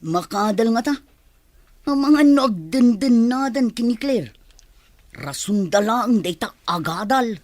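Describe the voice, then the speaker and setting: A woman's voice: on the high side, expressive, unhurried, a little mellow, dry recording. A woman with an Ilocano accent playing a blunt, suspicious older village relative in a radio comedy drama. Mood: insistent.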